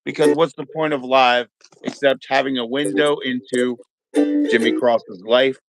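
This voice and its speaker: A man's voice: mid-range voice, steady tone, unhurried, over loud music, low-quality recording. An American man chatting casually as a livestream host, in a laid-back, slangy, encouraging way. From Maryland, United States.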